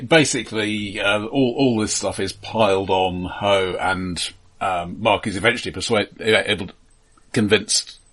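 A man co-hosting a film podcast in casual discussion, speaking in a halting way with dry asides. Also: on the low side, fairly bright tone, slightly rough.

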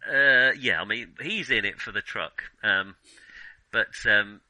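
A man's voice: on the low side, unhurried, sharp tone, no echo, rough recording. A man hosting a podcast, chatting casually about films in a wry, self-deprecating, jokey way. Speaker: podcast host. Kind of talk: casual film discussion with playful scripted intro.

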